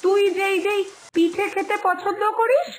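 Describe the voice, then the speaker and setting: High-pitched coaxing village mother acted by a woman. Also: moderate pace, slight background noise. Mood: patient, coaxing. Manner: coaxing, explanatory, repeats phrases for emphasis. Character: doting, hard-working village mother coaxing her young daughter. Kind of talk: dramatised cartoon moral story dialogue.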